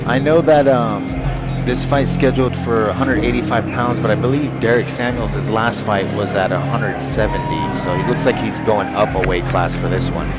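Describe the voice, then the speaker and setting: A man's voice: on the low side, steady tone, moderate pace. A man giving color commentary at a live fight, casual and enthusiastic. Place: live fight event venue. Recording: commentary over loud arena crowd and music. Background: loud crowd and music.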